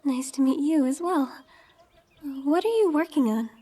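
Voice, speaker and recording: A woman's voice: high voice, expressive, measured pace, dark tone. A woman playing a curious young recruit who narrates her own story in an audio drama. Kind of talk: first-person scripted narration, audio drama.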